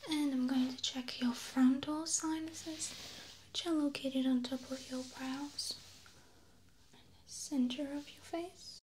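A woman whispering gently and reassuringly, unhurried and breathy, as a medical examiner in an ASMR roleplay on a close binaural microphone. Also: high voice, steady tone. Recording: faint background noise, close-miked whisper.

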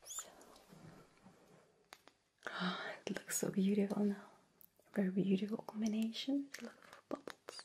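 A woman playing a friendly, caring alien abductor in an ASMR roleplay, speaking in a soft, breathy whisper with slow, soothing, coaxing words aimed closely at the listener. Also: medium pitch, fairly even intonation. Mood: gentle, caring.

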